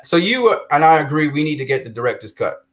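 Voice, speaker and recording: A man's voice: fairly high pitch, big pitch swings, fast talker, a bit bright. A man hosting a casual chat about films, speaking with enthusiastic emphasis.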